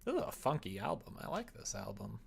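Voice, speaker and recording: A man's voice: lowish voice, huge pitch swings, a little fast, vocal fry, slightly rough. A man live streaming as he develops a visual novel, casually thinking aloud and chatting with viewers as he works. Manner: thinks aloud, mutters instructions while working, chats back to viewers. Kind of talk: casual livestream chat while coding, often muttering to himself. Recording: faint background noise with keyboard typing.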